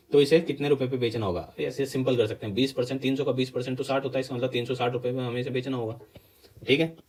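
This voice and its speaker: Casting: Indian man, mid-pitched voice, exam tutor who explains step by step and checks with rhetorical questions. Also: rough recording. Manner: instructional, asks questions and answers them himself; mixes Hindi explanation with English terms. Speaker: maths teacher for exam preparation.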